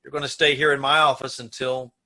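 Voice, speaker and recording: Bright, forceful, earnest prison warden played by a man. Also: mid-range voice, flat delivery, brisk. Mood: grave, considerate. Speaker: amateur radio-troupe actor. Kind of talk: old-time radio play acting.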